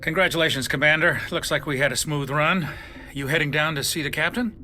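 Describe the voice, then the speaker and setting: An actor playing an earnest, uneasy ship's officer in a video game, respectful but questioning and suspicious. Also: male voice, fairly high pitch, brisk, sharp tone, a little hiss or hum. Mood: friendly.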